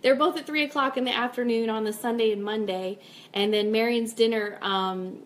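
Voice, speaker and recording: A woman hosting a casual live stream, chatting informally and upbeat as she reads out comments and announcements. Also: medium pitch. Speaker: host of a fan radio live stream.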